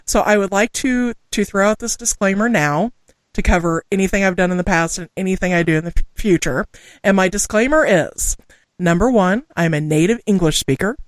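A woman from Southwest Missouri with a local accent, co-hosting a podcast, chatting casually, laughing often and rushing through lists of names. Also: medium pitch, dry recording. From Southwest Missouri, USA. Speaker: podcast co-host. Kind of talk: casual chat and reading listener names.

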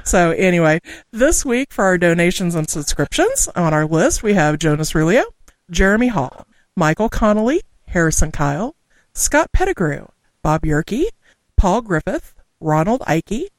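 A woman from Southwest Missouri with a local accent, co-hosting a podcast, chatting casually, laughing often and rushing through lists of names. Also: lowish voice, very animated, no room sound. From Southwest Missouri, USA. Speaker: podcast co-host. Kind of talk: casual chat and reading listener names.

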